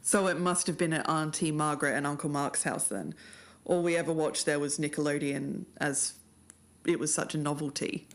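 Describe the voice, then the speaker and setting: Low-voiced Australian woman hosting a podcast. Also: moderate pace. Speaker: podcast co-host. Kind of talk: podcast presenting.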